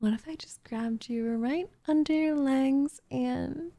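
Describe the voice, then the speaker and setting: A woman's voice: high-pitched, slow pace, creaky, dark tone, heavily acted. A woman voicing a doting, possessive witch in an intimate ASMR roleplay, cooing and teasing with smug condescension, often slipping into a whisper close to the microphone. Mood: cooing, adoring. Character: doting, possessive young witch turning captive into pet cat.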